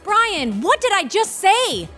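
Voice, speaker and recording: Blunt tired lawyer lady, high voice, very up and down, audio drama. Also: big pitch swings, slow, bright, forceful tone, soft background music, full character voice. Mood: weary, dismissive. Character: blunt, weary, accusing female law firm associate.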